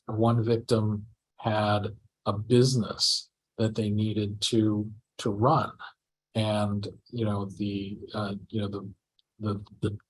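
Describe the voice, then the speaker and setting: A man's voice: lowish voice, husky, low-quality recording. A man lawyer speaking in a conference room who stops and restarts often, with many fillers. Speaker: lawyer, panel guest. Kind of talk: conversational panel talk.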